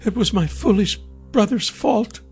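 A man voicing a young, horned, goat-footed woman in a raised, breathy falsetto in an audiobook. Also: animated, a little slow, a little mellow, soft background music, exaggerated voice. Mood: tense. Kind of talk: audiobook character voice.

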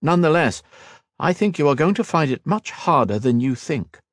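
A man's voice: on the high side, animated, no echo, studio quality, voice pushed up, close to an everyday voice. A man playing a formal elf noble. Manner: formal, measured.

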